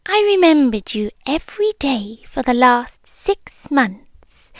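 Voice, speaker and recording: A British woman voicing a possessive, doting female spider monster in an intimate ASMR roleplay, speaking softly, often whispering, with sweet menace. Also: high voice, very animated, slow pace, no room sound, full character voice. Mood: adoring, teasing.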